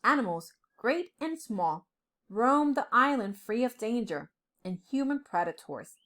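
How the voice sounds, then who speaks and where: Animated, high-voiced woman storyteller. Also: a little slow. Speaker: storyteller, professor. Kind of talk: expressive storybook reading for children.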